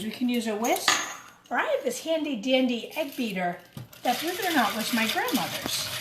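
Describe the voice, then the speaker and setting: A woman with a slightly high voice leads a cooking demonstration for children. She explains each step in simple phrases and speaks to the young audience directly. The room is noticeably echoey, with clear noise and clatter of utensils behind her. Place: indoors in a kitchen. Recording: roomy echo, clattering kitchen noise.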